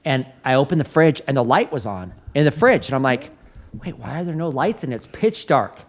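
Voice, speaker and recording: Guy in his 50s hosting a podcast, animated stories, laughs a lot, normal middle pitch. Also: a little fast. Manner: animated storyteller, laughs often. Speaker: podcast host. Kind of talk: podcast interview.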